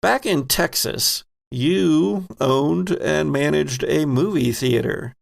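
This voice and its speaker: A man hosting and narrating a horror role-playing game as game master, theatrical and deliberate, with playful dramatic emphasis. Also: on the high side, vocal fry. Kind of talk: theatrical narration for an actual-play horror podcast.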